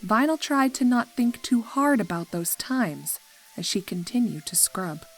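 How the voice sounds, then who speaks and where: A woman's voice: on the high side, lively intonation, a little slow, low-quality recording. A woman reading scripted story narration as the narrator. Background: music.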